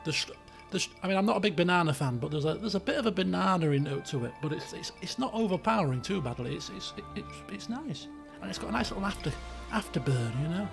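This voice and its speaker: A British man hosts a casual game stream in a high voice with a croaky, creaky edge. He rambles along chattily in colloquial language, with faint music behind him. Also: expressive, fast talker. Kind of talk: casual livestream chat.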